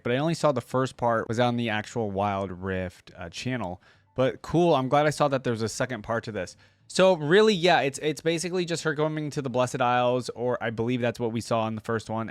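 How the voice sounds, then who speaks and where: A man's voice: medium pitch, no echo. A young adult man hosting a reaction video, giving informal commentary as he thinks aloud. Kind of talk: casual running commentary on videos.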